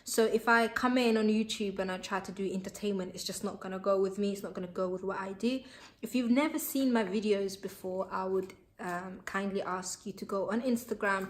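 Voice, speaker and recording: A woman's voice: medium pitch, moderate pace. A young woman, a Somali speaker, chatting to camera as a vlogger in a bubbly, chatty way with many fillers. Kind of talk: casual chat to camera.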